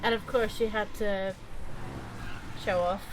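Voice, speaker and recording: A woman's voice: medium pitch, fairly even intonation, takes it slowly, noisy background. A woman doing casual video game playthrough commentary, reacting in slang and reading game text aloud. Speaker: streamer playing a video game.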